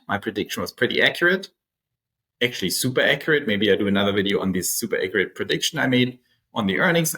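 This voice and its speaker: Emphatic man explaining investing, medium pitch. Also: fairly bright tone. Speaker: host of a stock investing channel. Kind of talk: explanatory finance commentary to viewers.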